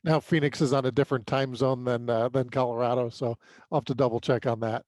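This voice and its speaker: An American man co-hosting a sports podcast, giving an unscripted recap in a halting way with repeated words. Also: medium pitch, steady tone, a little mellow.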